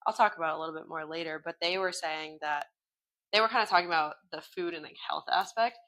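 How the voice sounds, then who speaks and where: Lower-voiced woman podcast co-host. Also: quick pace, bright, forceful tone. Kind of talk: casual podcast chat.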